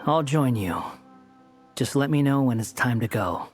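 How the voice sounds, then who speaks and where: An actor playing an aloof, sardonic young man in a video game, with a cool, smug, deliberate manner. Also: medium pitch, expressive, soft background music, close to an everyday voice. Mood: cool, teasing.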